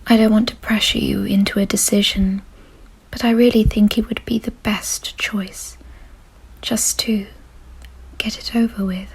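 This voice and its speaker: A woman playing a kind, doting aristocratic vampire in an ASMR roleplay, in a soft, breathy whisper with a gentle, genteel, reassuring manner. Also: mid-range voice, level tone, a little slow, heavily acted. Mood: coaxing, soothing.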